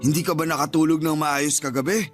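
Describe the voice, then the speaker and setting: Guy playing nervy filipino uncle in a radio drama, high voice, superstitious and naggy, bit of music behind. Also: steady tone, fast talker, close to an everyday voice. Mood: scolding, familiar. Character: superstitious, nervous, scolding uncle of a young man. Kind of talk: Tagalog radio drama acting.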